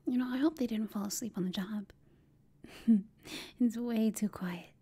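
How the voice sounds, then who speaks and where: A woman playing a flirtatious, smug female villain who taunts an enemy in a breathy whispered ASMR roleplay. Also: on the high side, mellow. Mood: mocking, amused. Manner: slow, teasing, smug.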